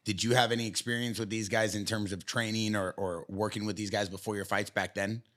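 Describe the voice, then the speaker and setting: A man's voice: on the low side, little pitch movement, a little fast, a bit bright. A man co-hosting a podcast in casual chat, asking questions and joking along.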